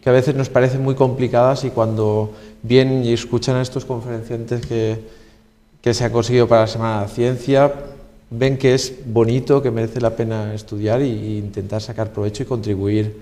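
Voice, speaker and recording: A man, a university guest on local TV, explaining research in an earnest, steady way. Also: mid-range voice, brisk. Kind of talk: interview answers. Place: local TV studio. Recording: reverberant studio sound.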